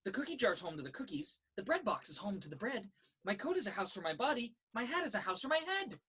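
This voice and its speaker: Lively, husky woman reading a picture book. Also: mid-range voice, quick pace. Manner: sing-song, rhythmic delivery. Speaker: story reader. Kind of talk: children's picture book read aloud. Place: indoors in a children's library.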